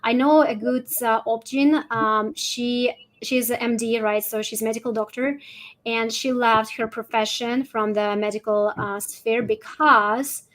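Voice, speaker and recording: A woman's voice: on the high side, fairly even intonation, unhurried, fairly bright tone, rough recording. A woman with a Russian accent explaining things conversationally as an interview guest, often checking in with "right?". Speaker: guest, holistic fertility coach.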